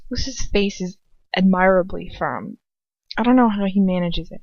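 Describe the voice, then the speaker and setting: A woman reading first-person fiction aloud as an amateur audiobook narrator, in an expressive storytelling style. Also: medium pitch, normal speed, no echo. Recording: dull lo-fi dry home recording.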